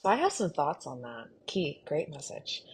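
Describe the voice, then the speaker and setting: A woman with a slightly low, breathy-rough voice co-hosts a podcast. Her talk is casual and playful, animated and a bit rambling. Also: moderate pace. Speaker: podcast co-host.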